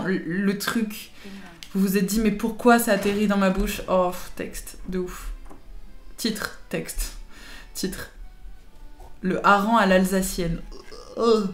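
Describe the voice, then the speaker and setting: A young woman hosts a casual livestream and talks directly to her viewers. Her speech is informal and slangy, with a teasing, chatty way about it. She sits at a middling pitch in a roomy, echoing space, with music playing behind her. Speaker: live streamer chatting with viewers.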